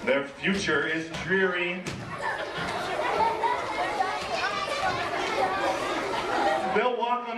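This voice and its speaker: High, slow, nagging woodland creature, acted by a man, mournful storybook stage reading, echoey noisy room. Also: distant mic.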